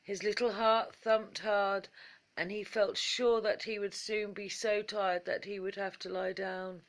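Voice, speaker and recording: A woman narrating a children's bedtime story in a measured, expressive storytelling manner. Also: medium pitch.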